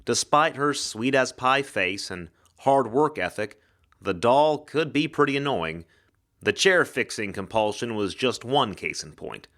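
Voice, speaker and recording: Male narrator for noir-flavored mystery fiction, mid-pitched, dramatic delivery with lively, wide intonation. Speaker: actor reading an audiobook-style story. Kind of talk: dramatic scripted narration of hard-boiled ghost mystery.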